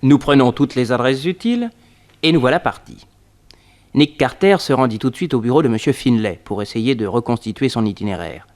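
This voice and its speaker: A man's voice: mid-range voice, animated, fairly quick, darkish tone, close to an everyday voice. Male narrator played by an actor, mid-range voice, detective's assistant recounting a case, 1960s French radio serial. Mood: narrating.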